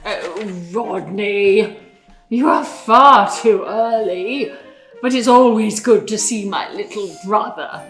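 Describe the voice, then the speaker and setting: A woman voicing an affectionate, exuberant fairy queen in a storybook, gushing and emphatic with drawn-out words. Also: mid-range voice, fairly bright tone, echoey room, soft background music. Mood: fond, gracious.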